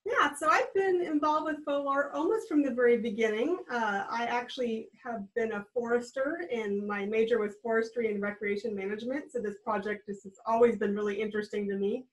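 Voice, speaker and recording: A woman's voice: on the high side, fairly quick, few pauses, poor audio. An American woman in her thirties, a guest on a video-call interview, explaining in a friendly, upbeat way from a small room at home. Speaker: guest, regional trail program director. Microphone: webcam on a video call.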